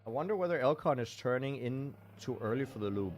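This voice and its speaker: A man's voice: mid-range voice, expressive, fast talker, slight background noise. Man casting a racing game live. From Denmark. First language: Danish. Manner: self-deprecating, chatty, reacts to chat. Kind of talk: live game commentary with chat.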